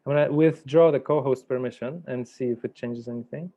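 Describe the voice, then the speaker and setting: A man's voice: medium pitch, fairly quick, warm tone. A man chatting casually as he coordinates an online session, in a hesitant, tentative way that checks with others. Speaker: workshop host and online meeting organiser. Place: online video call. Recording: dull, muffled video call audio.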